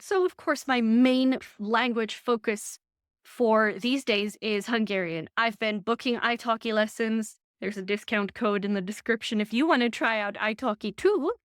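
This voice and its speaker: A young woman chats straight to camera from her living room, animated and full of asides. Her voice sits a little high and bright in a dry, close recording. She sounds like she is getting over an illness. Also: dry recording. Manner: chatty, animated, quick asides. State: recovering from illness. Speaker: vlogger and design lecturer. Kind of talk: chatty casual vlog update.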